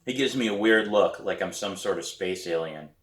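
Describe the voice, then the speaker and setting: A man reading a children's novel aloud as its author, in an animated storytelling manner. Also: low voice, moderate pace. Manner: animated, acts out dialogue. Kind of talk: expressive audiobook-style read aloud of a children's novel. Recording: roomy echo.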